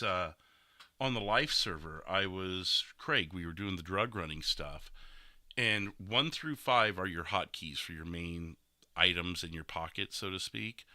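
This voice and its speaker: Congested man with a very bright, forceful voice. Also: low-pitched, no room sound, clean studio sound. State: congested, stuffy nose, breathing through mouth. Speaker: gaming streamer hosting a podcast. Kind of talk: casual chat.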